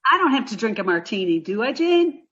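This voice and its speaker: Woman playing a ditzy, high-pitched sitcom roommate. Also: very animated, brisk, fairly bright tone, breathy edge, muffled recording, exaggerated voice. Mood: innocently literal. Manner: naive, literal-minded. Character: sweet, dim-witted, cheerful young female roommate Irma. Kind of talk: old-time radio sitcom acting.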